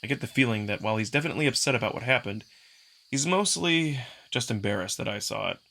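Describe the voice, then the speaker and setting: Bright-voiced man narrating a visual novel. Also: mid-range voice, animated, fast talker, creaky. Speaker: narrator and voice performer. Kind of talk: first-person scripted narration of a visual novel.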